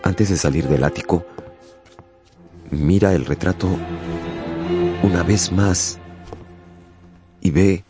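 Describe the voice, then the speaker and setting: A man narrating a radio drama in an intense, theatrical way with deliberate pauses. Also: low voice, music in the background.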